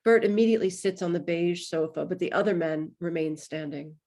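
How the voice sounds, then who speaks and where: Woman, lowish pitch, scripted narration, stage-direction reader. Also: a little fast, a little mellow.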